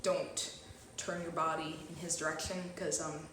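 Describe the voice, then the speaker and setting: A young woman playing a deadpan student in a mockumentary sketch, rambling in a dry, halting way. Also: low-pitched. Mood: dry warning. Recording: very echoey room, distant, faint noise.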